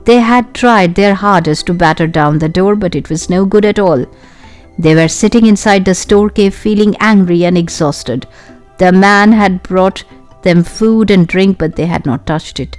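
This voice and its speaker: A woman reading a children's adventure story aloud in an expressive storytelling style. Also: mid-range voice, normal speed, faint music behind. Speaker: audiobook narrator.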